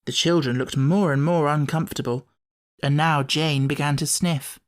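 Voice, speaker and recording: A man's voice: on the high side, fairly even intonation, moderate pace. A man reading scripted narration for a children's audiobook, as its narrator.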